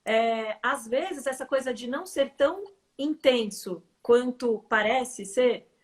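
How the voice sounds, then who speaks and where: A woman's voice: on the high side, slow pace. A woman co-hosting a live online interview, asking questions conversationally over a video call. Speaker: co-host.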